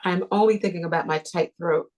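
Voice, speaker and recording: A young woman on a video call repeating phrases after her guide, soft and a little hesitant. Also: fairly low pitch, fairly even intonation, fairly quick, poor audio. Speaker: session client. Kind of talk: repeating phrases in a therapy session. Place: home room.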